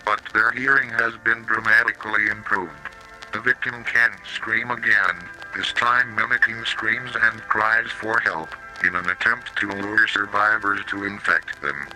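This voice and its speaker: Synthetic male narrator for horror safety tape: robotic, slightly low, piercingly bright, mechanical delivery over music. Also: rough recording. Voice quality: robotic synthetic text-to-speech voice. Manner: flat, mechanical, odd pronunciations. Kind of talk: scripted analog horror public-safety narration. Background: slow music.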